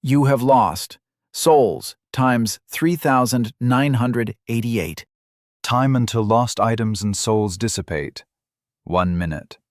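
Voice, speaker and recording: A man voicing the flat game system that announces gains and losses in a measured way. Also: medium pitch, no echo, clean studio sound, lightly acted. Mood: announcing.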